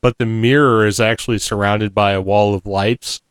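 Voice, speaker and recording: A man co-hosting a casual show, who explains things carefully and teases his partner. His voice is low, smooth and resonant. The sound is close and dry. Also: fairly even intonation, normal speed, no room sound. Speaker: podcast co-host. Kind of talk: casual chat.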